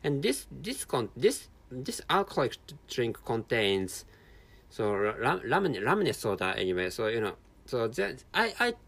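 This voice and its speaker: A man's voice: mid-range voice, very animated, measured pace, vocal fry, a bit bright, slightly rough. A tired man from Japan speaking English with a Japanese accent as a non-native speaker, hosting a casual solo monologue with many restarts and repeated words. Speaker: podcast host.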